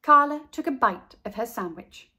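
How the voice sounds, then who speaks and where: A woman narrating a storybook as an expressive read-aloud for children. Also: fairly high pitch, moderate pace, breathy edge.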